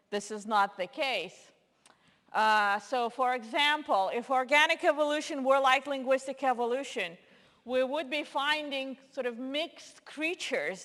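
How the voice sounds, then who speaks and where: Russian-native woman giving a talk, highish voice, big echoey hall. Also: a bit bright. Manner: explanatory, many fillers and restarts. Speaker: lecturer. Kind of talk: conference lecture. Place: lecture hall. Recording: long hall reverb.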